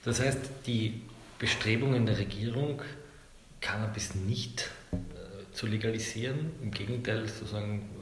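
A man interviewing a guest, summing up his views and asking measured, probing questions. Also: fairly low pitch. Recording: echoey room with faint noise.

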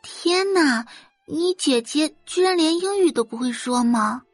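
Woman doing a shrill, amazed schoolgirl. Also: expressive, a little slow, no room sound, soft background music. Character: curious young female rich-girl classmate.

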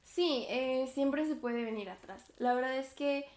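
High-voiced young woman, chatty. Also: a little slow, a little mellow, echoey room, slight background noise. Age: 20s. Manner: chatty, many fillers and self-conscious laughs. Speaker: podcast host. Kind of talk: reflective casual monologue.

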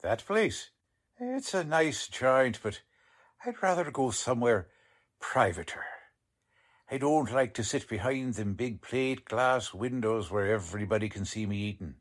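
An actor playing a folksy, plain-spoken police lieutenant in a 1940s-style radio drama, talking in slangy, ungrammatical phrases. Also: male voice, mid-range voice, expressive, a little slow. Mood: genial, folksy. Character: blunt, folksy male police lieutenant, none too polished.

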